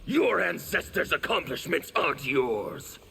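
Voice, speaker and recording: An actor voicing a bitter, proud rebel man in a video game, with a raspy, gravelly voice. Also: fairly high pitch, very animated, measured pace, a bit bright, faint music behind, full character voice. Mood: defiant.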